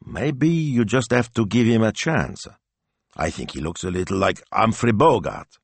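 A man's voice: fairly low pitch, lively intonation, a little slow, vocal fry, dry recording, voice pushed up, heavily acted. A man voicing a shrewd, bossy Italian wife who talks in a practical, decisive, chiding way in an audiobook. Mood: coaxing. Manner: chiding, matter-of-fact, decisive.

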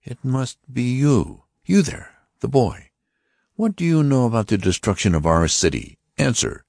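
A man voicing a stern male Martian officer who interrogates passengers in a slow, commanding, threatening way. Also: medium pitch, very animated, gravelly, a little mellow, no room sound, clean studio sound, pitched above the performer's own voice, heavily acted. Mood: menacing.

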